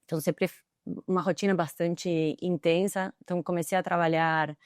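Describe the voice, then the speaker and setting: A middle-aged woman with an Argentine accent, a native Spanish speaker, answering interview questions thoughtfully and often pausing on hesitant fillers. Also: lowish voice, fairly even intonation, smooth, no echo, clean studio sound. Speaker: guest, tech executive.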